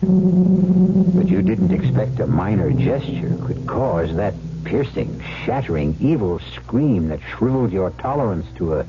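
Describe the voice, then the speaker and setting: A man hosting and narrating a radio mystery in a dramatic, ominous, deliberate scripted style. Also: mid-range voice, big pitch swings, creaky. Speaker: host and narrator. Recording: muffled old radio broadcast. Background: music.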